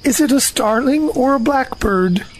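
A woman playing a naive, amiable, slow-witted male bear with an earnest, halting manner in a children's storybook audio drama. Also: high voice, expressive, a little hiss or hum. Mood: distracting, innocent.

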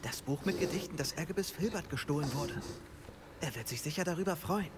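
A man's voice: fairly high pitch, fairly quick, natural voice. An actor voicing an earnest young male student wizard, the hero of a fantasy video game. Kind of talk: video game character lines and spell calls. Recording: game audio under clear noise.

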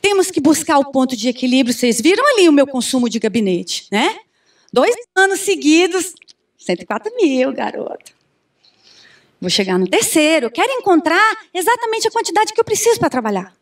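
A Brazilian woman in her thirties giving an animated conference talk on a handheld mic, often asking the audience questions. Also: sits high, brisk. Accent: Brazilian Portuguese. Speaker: conference speaker. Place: large conference room with audience. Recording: distant room sound from far camera.

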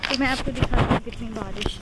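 A woman's voice: fairly high pitch, quick pace, heavy background noise. A young woman chatting casually to her viewers in a vlog, filmed on a phone at arm's length. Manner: chatty, addresses viewers directly. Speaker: vlogger. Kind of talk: casual vlog chat to camera. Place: outdoors on a rainy city street, then a restaurant. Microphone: phone held at arm's length.

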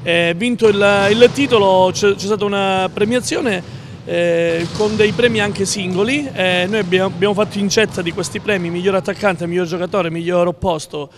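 A man in his forties speaks as a coach in a TV interview, his voice pitched high. He halts and repeats words. He talks into a handheld mic in a sports hall with clear background noise. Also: fairly even intonation, moderate pace. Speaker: volleyball coach. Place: large indoor sports hall. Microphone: handheld reporter mic. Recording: loud busy gym background noise.

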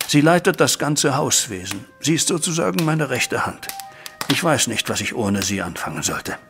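A middle-aged widowed banker in an audio drama, worried and disappointed as a father. His mid-pitched voice has a gravelly creak. He tells his account formally and deliberately, over music. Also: sing-song. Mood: melancholy. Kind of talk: German audio drama acting, recounting events. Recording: music bed behind voice.

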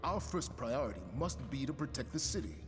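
An actor playing a stern, duty-bound military commander in a video game, firm, formal and authoritative. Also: male voice, medium pitch, animated, brisk, close to an everyday voice. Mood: stern, urgent. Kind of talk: cinematic game acting. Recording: reverberant hall, music behind.

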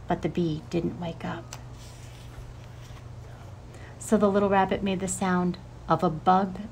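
Low-voiced woman reading a children's story. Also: gravelly, a little mellow, a little hiss or hum, poor audio. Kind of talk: expressive children's picture book reading. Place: outdoors on a paved porch.

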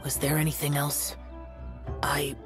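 A stern immortal demon slayer played by a man in an anime game. He speaks slowly and solemnly in a youthful but grave voice, clipped and aloof. Music sits clearly behind him. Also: fairly high pitch, completely flat delivery, natural voice. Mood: brooding.

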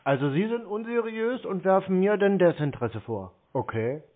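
A man's voice: sits high, lively intonation, normal speed. A man chatting on the phone in a sarcastic, needling way. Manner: sardonic, needling. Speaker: scambaiter taking scam calls. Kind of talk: sarcastic prank phone chat. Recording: tinny narrow phone line.

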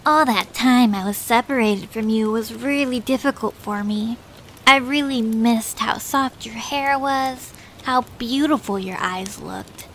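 A woman playing a flirty, soft-spoken cartoon beaver sweetheart. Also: on the high side, slight background noise, rough recording. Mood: tender. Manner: soft, affectionate, seductive. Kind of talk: fan fiction dramatic reading. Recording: music behind the voice.

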